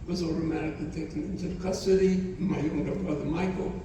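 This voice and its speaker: A man in his nineties, not a native English speaker, gives a halting testimony to students and often repeats his phrases. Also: on the high side, fairly even intonation, dark tone, a little hiss or hum. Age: 90s. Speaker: Holocaust survivor speaker. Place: college hall. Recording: echoey hall from far off.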